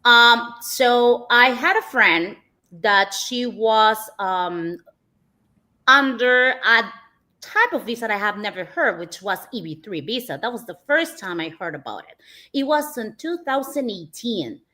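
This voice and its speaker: Eager Peruvian woman guest, higher voice. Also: animated, unhurried, bright, forceful tone. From Peru. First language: Spanish. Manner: friendly and eager, many fillers. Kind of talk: telling her own story on a live stream.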